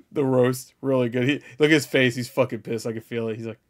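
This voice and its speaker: A man's voice: medium pitch, normal speed, faint music behind. A man hosting a reaction video with casual, profane, blunt and jokey commentary.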